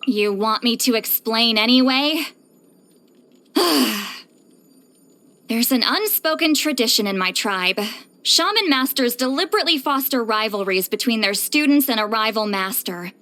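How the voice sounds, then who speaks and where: A woman's voice: a little high, animated, measured pace, pushed and bright. A woman voicing a reclusive, grumbling shaman with a youthful voice in a video game, hesitant, self-conscious and dryly exasperated. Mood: exasperated, resigned. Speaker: video game character voice actress. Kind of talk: scripted game character monologue.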